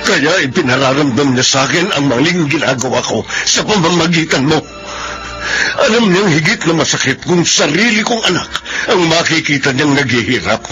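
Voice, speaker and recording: A man's voice: fairly high pitch, lively intonation, normal speed, music in the background, natural voice. A man playing a middle-aged, status-conscious, distant businessman father in a Filipino radio drama, curt and commanding. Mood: anguished remorse.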